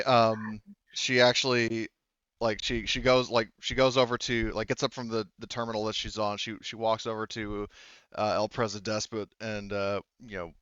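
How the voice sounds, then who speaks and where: A man running a tabletop roleplaying game, improvising narration and explaining options in a halting, rambling way. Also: on the low side, a bit bright, clear, resonant tone, no room sound, muffled recording. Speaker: game master.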